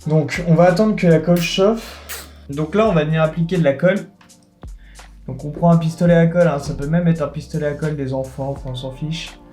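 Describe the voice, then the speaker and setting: A man explains a hands-on build step by step in a loose, joking way. His voice sits a little high for a man. Light music plays faintly behind him. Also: fairly quick, dark tone. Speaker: tutorial host. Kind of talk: casual DIY tutorial with banter.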